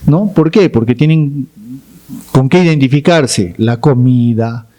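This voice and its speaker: A man from Peru on a debate panel, explaining his position with halting restarts. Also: medium pitch, dark tone.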